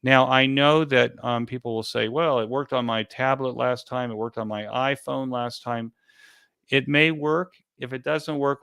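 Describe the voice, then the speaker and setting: Clear-voiced man explaining things step by step. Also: mid-range voice, fairly bright tone, dry recording. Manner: halting, repetitive, explanatory. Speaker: event organizer being interviewed.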